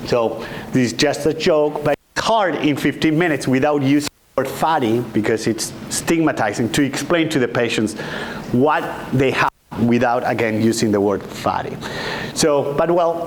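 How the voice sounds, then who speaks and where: Man, 40s, Argentinian accent, doctor running an informal patient talk, handheld mic, conversational, slight room noise. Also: mid-range voice. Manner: relaxed, conversational, checks in with "okay?" and "right?". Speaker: doctor giving a health talk. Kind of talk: informal patient education lecture. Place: conference room, indoors. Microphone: handheld microphone.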